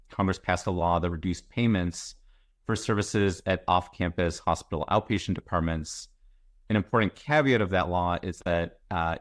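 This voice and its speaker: A man on a video call giving a measured, methodical explanation of health policy as a researcher. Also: low-pitched, no room sound, clean studio sound.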